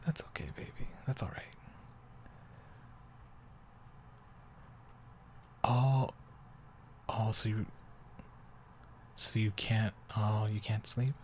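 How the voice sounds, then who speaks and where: A man playing an affectionate young boyfriend in an improvised bedtime roleplay, talking softly and haltingly, often sinking toward a whisper. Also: mid-range voice, slight background noise. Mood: gentle, concerned. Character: affectionate, doting young boyfriend, soft and rambling. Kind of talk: improvised intimate roleplay audio, sleep aid.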